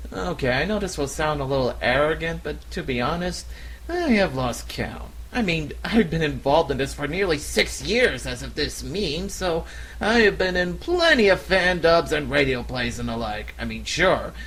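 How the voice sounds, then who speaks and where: A man's voice: a little high, a bit bright, low-quality recording. A man in his thirties with an American accent, an amateur voice actor giving a question-and-answer monologue on a cheap microphone. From United States. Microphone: cheap flexible desktop microphone.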